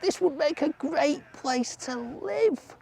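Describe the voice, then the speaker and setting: A storyteller voicing a small, cold mouse in a children's folk tale, with a high, welcoming voice. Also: animated, slow pace, creaky, darkish tone, full character voice. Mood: pleased.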